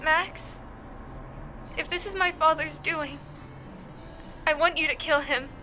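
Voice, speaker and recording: A woman's voice: sits high, steady tone, slow pace, sharp tone, full character voice. A woman playing a distraught, vengeful wife in a melodramatic noir audio drama, music behind her.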